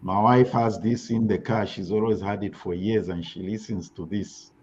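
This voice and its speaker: A man with a Texas accent hosting a live talk show, briskly wrapping up segments. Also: lowish voice, fairly even intonation, darkish tone, dull audio, slight background noise. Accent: Texan.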